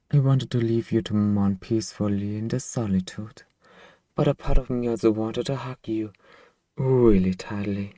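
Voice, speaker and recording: A man playing a centuries-old vampire master and possessive, tender boyfriend in an intimate ASMR roleplay, speaking softly and wistfully with lingering phrasing. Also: medium pitch, dry recording, close to an everyday voice. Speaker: ASMR voice actor.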